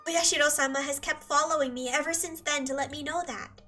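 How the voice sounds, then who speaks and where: Unhinged guilt-ridden teen girl, high and piercing, set back from the mic over music. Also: fairly even intonation, fairly quick, pushed and bright, far from the mic. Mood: obsessive. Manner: obsessive, repeating apologies, swinging from whisper to scream. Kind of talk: dubbed anime drama monologue.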